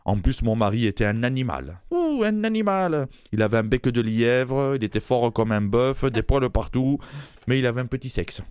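A man's voice: mid-range voice, sing-song, moderate pace, a little mellow, clean tone, dry recording, studio quality, lightly acted. A man voicing a brash, mannish woman from Toulouse, a former rugby player, with a southern French accent, bawdy and punning, chuckling at his own jokes in a radio comedy sketch. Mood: cheeky.

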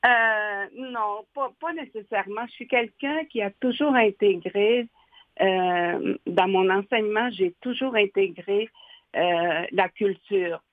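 Older woman, from Nutashquan, halting with fillers, tinny phone line, dry sound. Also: mid-range voice, dry recording. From Nutashquan, Quebec. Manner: halting, many fillers and restarts, laughs often. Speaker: guest, educational advisor. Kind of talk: radio interview.

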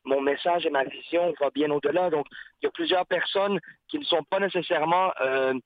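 A man's voice: a little high, a little fast, no echo. A man, a guest artist, talking in a casual interview, reflective and searching for words with frequent restarts. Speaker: guest artist, rapper and painter. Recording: tinny phone-like line.

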